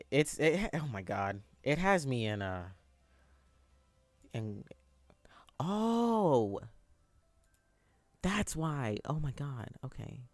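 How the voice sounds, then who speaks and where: A man in his twenties chatting casually on a live stream, answering viewers in a rambling, halting way with lots of fillers and restarts. He has a youthful, boyish-sounding voice. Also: mid-range voice, dry recording. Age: 20s.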